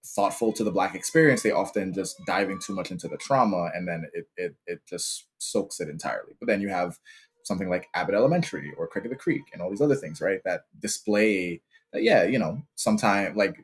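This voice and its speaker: A man, a professor as guest, speaking in a thoughtful, halting way with many fillers and easy laughs. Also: sits low, expressive, husky. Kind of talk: casual conversation.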